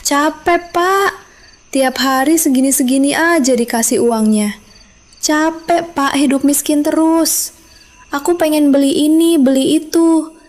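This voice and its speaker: A woman voicing a greedy, nagging middle-aged village wife who talks in a whiny, scornful way. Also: high-pitched.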